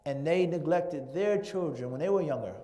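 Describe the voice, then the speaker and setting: A man's voice: a little high, normal speed, warm tone. A man giving a lecture-style sermon at an Islamic center, speaking rhetorically and stacking long lists of words for emphasis. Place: large hall of an Islamic center. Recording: long reverb from a large hall.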